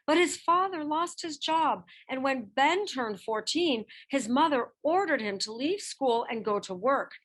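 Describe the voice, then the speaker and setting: A woman narrates a children's storybook in a fairly high voice. Her delivery is slow and measured, and her pitch moves widely as she stresses key phrases. It is expressive, scripted read-aloud narration. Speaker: reader of a children's picture book biography.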